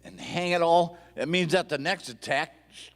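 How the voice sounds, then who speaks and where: A man's voice: a little high, fairly even intonation, moderate pace, close to an everyday voice. A man playing a hot-tempered, folksy sheriff with an American cowboy drawl in a live radio play. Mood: surprised, folksy. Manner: drawling cowboy slang, exclamatory. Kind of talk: Western radio play acting. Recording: live stage hall, long reverb.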